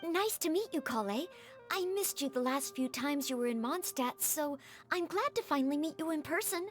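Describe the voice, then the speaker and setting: A woman's voice: sits high, expressive, moderate pace, faint music behind. A woman voicing a polite, earnest young maid who trains as a knight, in a video game. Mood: polite, pleased. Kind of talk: English video game voice acting.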